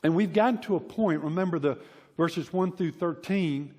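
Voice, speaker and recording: A man's voice: fairly high pitch, normal speed, mellow. A middle-aged American man with a Southern accent preaches a conversational sermon in a folksy, informal way, repeating phrases for emphasis. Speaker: pastor preaching. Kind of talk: conversational sermon with personal anecdotes. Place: church hall, preaching to a congregation. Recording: reverberant large hall.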